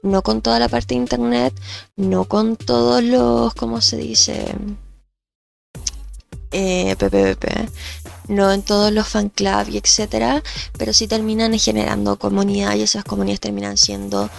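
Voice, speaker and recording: Nasal woman with gravelly fry. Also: mid-range voice, level tone, a little slow, soft background music. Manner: thoughtful, with frequent fillers and restarts. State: nasal from allergies. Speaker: podcast host and researcher. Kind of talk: explanatory podcast talk.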